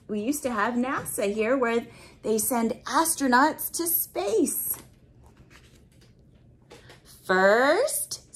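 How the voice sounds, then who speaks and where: A woman reading a picture book aloud to children, expressive and exclamatory, pausing to explain things simply and put questions to young listeners. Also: on the high side. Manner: expressive, exclamatory, with audible gasps of wonder.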